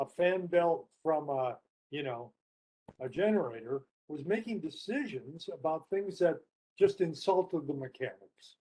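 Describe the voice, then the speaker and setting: A man speaking as a panelist, reflecting off the cuff and telling anecdotes in halting phrases with repeated words. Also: on the high side, mellow, slightly rough, poor audio. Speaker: panelist, experienced change practitioner. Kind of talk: unscripted discussion, telling anecdotes.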